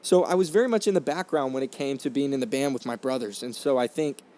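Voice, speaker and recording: A man's voice: mid-range voice, fast talker, a little mellow. Earnest young man telling his story. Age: 20s. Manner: earnest, reflective, many fillers and restarts. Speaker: podcast host. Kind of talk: casual storytelling monologue. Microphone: condenser microphone.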